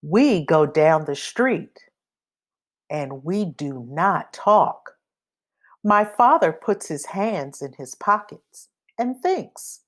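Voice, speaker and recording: A woman reads a children's storybook aloud at a very slow, careful pace. Her delivery is expressive and highly varied in pitch, with each phrase set apart deliberately for young listeners. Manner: deliberate, expressive, acts out sound effects. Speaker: read-aloud reader. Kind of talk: children's picture book read-aloud.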